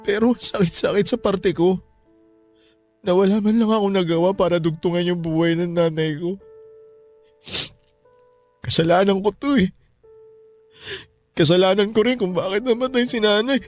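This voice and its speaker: A bitter, guilt-ridden Filipino son at a wake, played by a male radio actor. His voice sits somewhat high for a man. Faint music plays underneath the drama. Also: fairly even intonation, moderate pace, long pauses, natural voice. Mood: anguished, guilty. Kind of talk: Tagalog radio drama acting.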